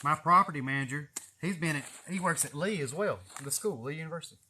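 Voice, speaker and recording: A man with a fairly high voice and a Southern drawl from East Tennessee talks casually to a live audience online. He rambles from one story to the next in a folksy, salty, cussing way. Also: animated, fast, bright, forceful tone, a little hiss or hum. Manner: folksy, profane, chuckling storyteller. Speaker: snake catcher hosting a live video. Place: greenhouse garden.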